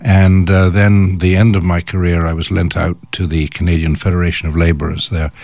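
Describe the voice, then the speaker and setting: Older english guy, super low voice, dry close sound, rambles through his stories with lots of uhs. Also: flat delivery, brisk, gravelly, darkish tone, no echo. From England. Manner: chatty, hesitant storyteller. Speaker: guest. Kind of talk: radio interview. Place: radio studio.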